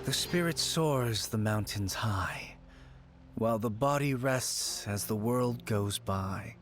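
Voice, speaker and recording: A man playing a serene, wistful and cryptic shrine dweller in a video game, measured and poetic. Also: lowish voice, background noise. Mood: dreamy.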